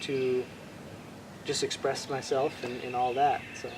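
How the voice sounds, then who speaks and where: A man's voice: mid-range voice, unhurried, background noise. Rambling man from Hawaii, outdoors. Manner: relaxed, rambling with many restarts. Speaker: bushcraft instructor, guest. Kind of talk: casual interview chat.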